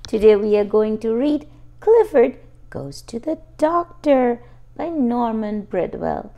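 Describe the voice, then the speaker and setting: A woman's voice: a little high, lively intonation, takes it slowly, vocal fry, dark tone. A woman reading a picture book aloud for young children, expressively and speaking directly to the little listeners. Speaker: children's storybook reader and host.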